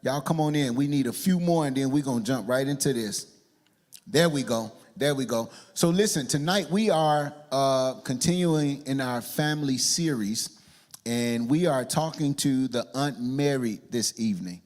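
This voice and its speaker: A man hosting a church community talk before a studio audience, energetic and rallying online viewers and the crowd. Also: mid-range voice, normal speed. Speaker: church livestream host. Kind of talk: hyped livestream hosting.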